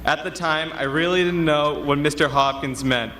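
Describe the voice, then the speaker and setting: A man's voice: on the high side, normal speed, sharp tone, a little hiss or hum. A young man in his late teens reading a prepared graduation speech as a student.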